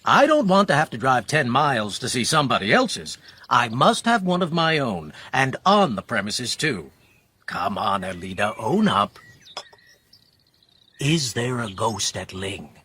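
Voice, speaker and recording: An American husband for a radio play. His husky voice moves freely up and down, eager one moment and evasive the next. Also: mid-range voice, sing-song, measured pace, pushed and bright, full character voice. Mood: eager, teasing. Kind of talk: radio drama acting.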